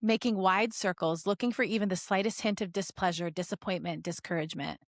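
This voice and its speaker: A woman's voice: on the low side, brisk, no echo, clean studio sound. A woman podcast co-host reading fan fiction aloud in a giggly, joking way with casual asides.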